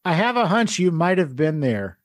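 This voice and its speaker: A man's voice: high voice, big pitch swings, moderate pace, dry recording, clean studio sound. A man hosting a podcast interview, friendly and joking with his guest. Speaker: podcast host. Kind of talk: casual interview chat.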